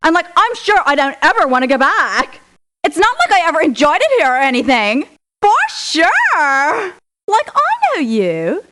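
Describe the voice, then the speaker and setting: A woman's voice: very high-pitched, huge pitch swings, unhurried, sharp tone, heavily acted. An actress playing a young, ditzy, squeamish valley girl mercenary in a video game, with an American Valley girl accent and a whiny manner. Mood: dismissive, squeamish. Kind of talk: theatrical video game voice clips.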